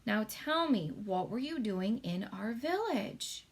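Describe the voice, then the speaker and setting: A woman's voice: mid-range voice, animated, takes it slowly, creaky, breathy edge, heavily acted. A woman voicing a small, curious storybook girl, insistent and full of questions. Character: small, curious, pleading, bold young girl.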